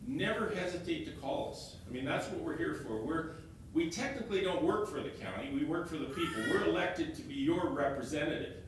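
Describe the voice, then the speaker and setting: A man, an elected councillor presenting slides to a meeting, halting and often repeating his words as he restarts phrases. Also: lively intonation. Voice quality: loud voice. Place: meeting room, stepping back from a fixed mic. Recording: very echoey room, heard from a distance, faint rumble.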